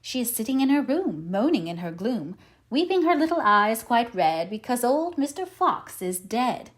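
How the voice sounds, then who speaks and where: A woman's voice: on the high side, big pitch swings, normal speed. A woman voicing a polite maid cat in a storybook, with a lilting, courteous, rhyming delivery. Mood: mournful reporting. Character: polite, singsong maid cat serving a widow fox. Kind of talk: storybook read-aloud character voice.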